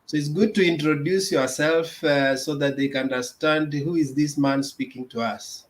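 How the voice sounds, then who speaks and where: A man hosting an interview, introducing his guest hesitantly with many pauses. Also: a little high, steady tone. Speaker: interviewer and host.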